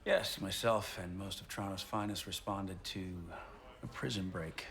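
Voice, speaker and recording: An actor playing a proud, disgruntled police detective, wry and grudging in a 1920s period TV drama. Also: male voice, deep voice, steady tone, normal speed, creaky, noisy background. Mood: irritated. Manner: sardonic, grudging.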